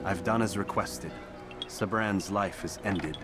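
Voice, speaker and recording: A man's voice: deep voice, measured pace. A man playing a brooding, terse young medieval assassin in a video game cutscene, grave and earnest. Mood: troubled. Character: brooding young male medieval assassin, questioning his orders. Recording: loud music behind the voice.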